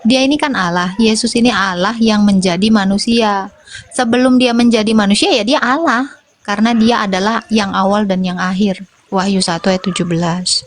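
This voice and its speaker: A woman defending her faith in a debate over a voice call, assertive and firing back quick rhetorical questions. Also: medium pitch.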